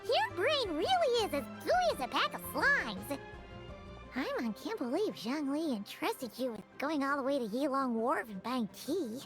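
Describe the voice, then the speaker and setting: Casting: tiny childlike fairy sidekick for a game, very high squeaky voice, cheeky, blunt, lively swings, music underneath. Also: female voice, measured pace. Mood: cheeky, scolding. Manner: blunt, refers to self in third person. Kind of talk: video game character acting. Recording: music playing behind.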